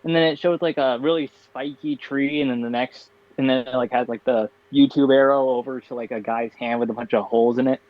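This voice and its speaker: Tired, flat-voiced young man on a dull mic. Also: medium pitch, fairly quick, hardly any pauses, no echo. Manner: flat, tired monotone with bursts of laughter. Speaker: podcast co-host. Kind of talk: casual chat. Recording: dull, dry lo-fi home mic.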